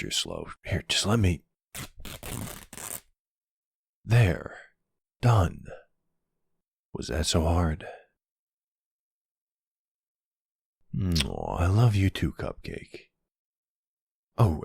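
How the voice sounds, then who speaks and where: A man playing a flirty, cocky vampire with a gravelly, husky voice, teasing the listener in an intimate ASMR roleplay. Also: low voice, huge pitch swings, slow pace, long pauses, no room sound, heavily acted. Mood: wry, bossy. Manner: teasing, sardonic drawl.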